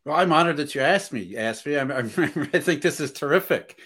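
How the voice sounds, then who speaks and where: A man's voice: a little high, expressive, fairly quick, a bit bright, breathy edge. A man speaking as a podcast guest, earnest and thinking aloud with restarts. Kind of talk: casual podcast conversation.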